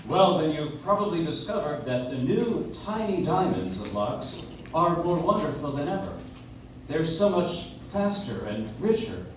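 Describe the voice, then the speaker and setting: An actor playing a genial 1940s radio announcer with a polished sales patter. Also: male voice, on the high side, big pitch swings, a little slow. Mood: selling. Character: genial radio announcer selling soap flakes. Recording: echoey, distant, noisy audience-member recording.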